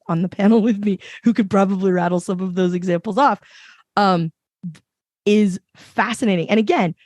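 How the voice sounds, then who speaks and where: Woman panelist, low voice with lingering roughness, emphatic and passionate, dry close sound. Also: darkish tone, dry recording. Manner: passionate, emphatic, long run-on points. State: recovering from illness, voice a bit rough. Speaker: podcast panelist. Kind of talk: casual panel discussion.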